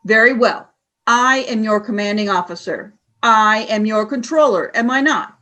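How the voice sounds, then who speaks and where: A woman playing a cold, cruel alien commander in a radio play, speaking in a deliberate, scornful, commanding way. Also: on the high side, sharp tone. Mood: stern, scornful. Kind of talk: audio drama radio play acting.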